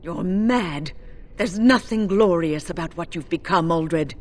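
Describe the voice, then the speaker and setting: An actress playing a resolute, caring senior mage in a video game, earnest and urgent. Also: female voice, lowish voice, measured pace. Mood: appalled. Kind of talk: dramatic video game acting.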